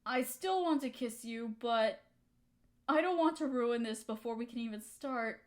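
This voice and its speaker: A woman acting a hurt young woman softening toward her best friend, in an intimate roleplay audio drama, emotional and halting. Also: a little high, lightly acted. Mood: tender, confessing.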